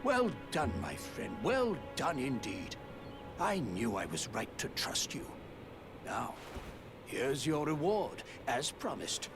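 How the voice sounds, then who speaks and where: Self-important noble, theatrical video game acting, pitch all over, music behind. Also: male voice, big pitch swings, takes it slowly. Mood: delighted, grand. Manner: pompous, florid, theatrical. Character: pompous, self-important nobleman claiming a lordship.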